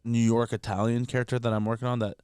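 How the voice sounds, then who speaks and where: Fast-talking comedian, swears casually. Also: male voice, mid-range voice, flat delivery, a little mellow, clean tone, no echo, clean studio sound. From Canada. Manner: rapid, candid, profane, heavy filler words. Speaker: guest comedian. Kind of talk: casual podcast chat.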